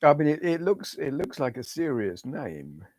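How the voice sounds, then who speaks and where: A middle-aged man with a hoarse voice, chatting casually to viewers on a retro gaming live stream and reading out their names. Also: on the high side, big pitch swings, moderate pace, a little mellow, no room sound. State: hoarse, losing his voice.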